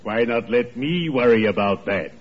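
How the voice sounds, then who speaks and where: An actor playing a genteel, morbid, drolly solemn undertaker with formal, deliberate politeness in a 1940s radio sitcom. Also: male voice, mid-range voice, lively intonation, lightly acted. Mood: morbidly genial. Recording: muffled 1940s radio recording with noise.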